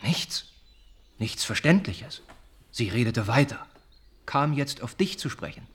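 An actor playing an earnest, wounded young gentleman who confides haltingly and reflectively in a radio drama. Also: medium pitch, lively intonation, breathy edge, full character voice. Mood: disillusioned.

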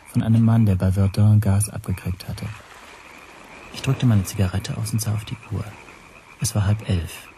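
Radio play narrator, male actor: lowish voice, pensive and measured, over clearly noisy background. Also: warm tone, natural voice. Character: pensive first-person narrator of a spy story. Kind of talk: scripted narration in radio drama.

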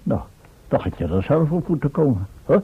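An actor playing an indignant, self-important professor in an old Dutch radio play, with a Netherlands accent and exclamatory delivery. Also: male voice, mid-range voice, big pitch swings, brisk, vocal fry, breathy edge, phone-quality sound, heavily acted. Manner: pompous, exclamatory. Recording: thin, band-limited old radio recording.

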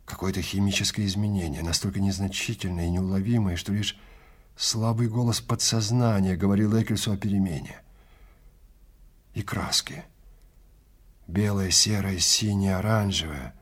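A man narrating a science fiction audio drama theatrically, in deliberate short phrases with weighty pauses. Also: sits low, fairly even intonation. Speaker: narrator and actor.

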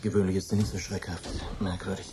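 An actor playing a fussy husband and host in a radio play, grumbling over mishaps. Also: male voice, low-pitched, little pitch movement, fast, dark tone, a little hiss or hum, lightly acted. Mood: puzzled. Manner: grumbling, irritable.

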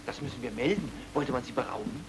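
An actor playing a fussy, garrulous, self-important cultural official in a German radio crime play, busy and eagerly hospitable. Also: male voice, mid-range voice, fast talker, noisy background, close to an everyday voice. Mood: insistent, hospitable. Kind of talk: mid-century German radio comedy-thriller acting.

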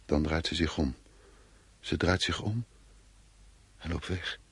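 An actor playing an anxious, irritable man fleeing war in a radio play, with a husky voice. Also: a bass voice, slow pace, exaggerated voice. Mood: brooding, dreamlike. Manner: agitated, commanding, brooding when narrating. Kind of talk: Dutch radio play acting.

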